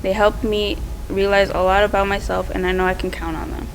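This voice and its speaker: A woman's voice: medium pitch, flat delivery, fairly quick, rough recording. Teenage girl giving a speech, some noise. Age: teens. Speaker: student award presenter. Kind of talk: prepared personal speech.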